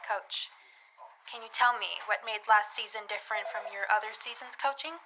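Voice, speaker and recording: A woman's voice: mid-range voice, moderate pace, background noise, lightly acted. A woman acting as a determined teenage girl, an aspiring private eye and school reporter, in a scripted audio drama. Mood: probing. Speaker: narrator and lead actor. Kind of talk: scripted audio drama, first-person narration and dialogue.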